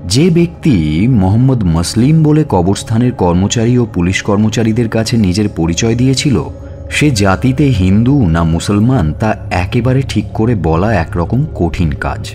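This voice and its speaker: Man playing a low-voiced pondering police detective. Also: animated, normal speed, dark tone, faint music behind, lightly acted. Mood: grave, recounting. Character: male police detective narrating his murder investigation, pondering. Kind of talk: dramatised audiobook narration in a suspense story.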